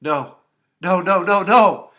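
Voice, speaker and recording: Man voicing a flustered young babysitter woman, pitched up. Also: expressive, slow, fairly bright tone. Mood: panicked. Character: flustered young female babysitter, protective but panicky.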